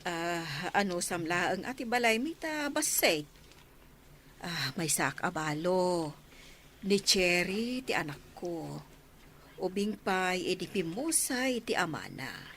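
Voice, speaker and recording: A woman's voice: on the low side, very animated, takes it slowly, a bit bright, slight background noise, heavily acted. A woman playing a kind, welcoming middle-aged widowed mother in a radio drama. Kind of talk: Ilocano radio drama acting.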